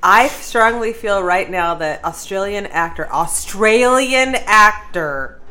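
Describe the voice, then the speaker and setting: A woman's voice: mid-range voice, animated, slow pace, sharp tone, a little hiss or hum. A woman co-hosting a podcast in casual, humorous chat, wry and theatrically emphatic. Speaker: podcast co-host.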